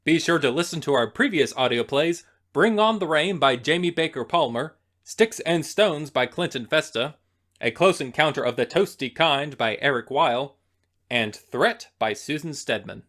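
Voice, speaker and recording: A man's voice: on the high side, expressive, normal speed, fairly bright tone. A man reading scripted credits as the announcer of an audio play production.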